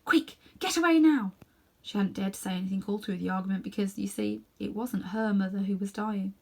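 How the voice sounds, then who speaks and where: A woman narrating a children's novel as an expressive read-aloud storyteller. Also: mid-range voice, fast talker, gravelly. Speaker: storybook reader.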